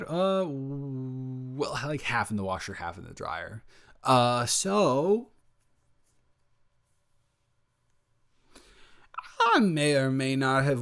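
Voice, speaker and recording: A man playing a slow, rambling, sheepish young roommate. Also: mid-range voice, gravelly, a bit bright, heavily acted. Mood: cozy, sheepish. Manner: rambling, halting, self-interrupting, answers own questions. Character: sheepish young male roommate, playfully defensive, smitten, cozy. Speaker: audio roleplay performer. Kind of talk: improvised romantic audio roleplay, casual conversational.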